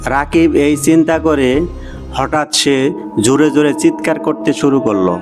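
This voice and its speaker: A man narrating a cartoon moral story for children in a measured, scripted storytelling way. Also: fairly high pitch. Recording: music behind the voice.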